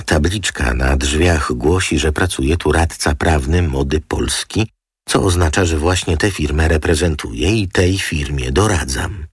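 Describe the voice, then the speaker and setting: A man voicing a composed, dryly witty woman lawyer who speaks in a calm, politely formal manner in an audiobook. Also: rumbling low, husky, dry recording, studio quality, full character voice.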